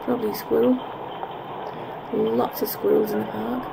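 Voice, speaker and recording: A woman's voice: a little high, level tone, moderate pace. A woman giving a casual, musing narration of a park walk, correcting herself as she goes, recorded outdoors on a handheld camera. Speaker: vlogger. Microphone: handheld camera's built-in mic. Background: clear park ambience noise.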